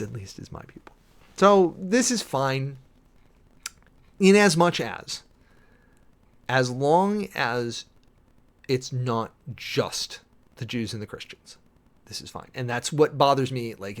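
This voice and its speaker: A man giving casual, opinionated livestream commentary as the host, informal and emphatic, often repeating phrases for stress. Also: medium pitch. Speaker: live streamer hosting a political commentary show.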